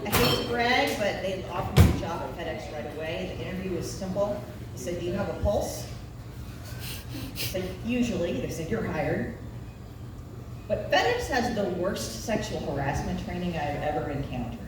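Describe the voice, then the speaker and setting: Recorded from a distance in a very echoey venue, a woman in her forties delivers a stand-up routine with a Nebraska Midwestern accent. She takes her time, with wry, self-deprecating storytelling and pitch that moves around freely. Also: animated, moderate pace. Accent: Nebraska Midwestern American. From Nebraska, United States. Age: 40s. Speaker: stand-up comedian. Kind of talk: live stand-up comedy routine. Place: live comedy venue. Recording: echoey room, distant mic, background noise.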